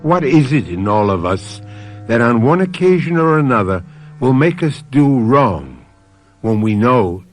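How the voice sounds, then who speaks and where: An actor playing a brooding mystery theater host, narrating a radio drama in a deliberate, theatrical way. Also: male voice, mid-range voice, big pitch swings, faint music behind. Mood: ominous.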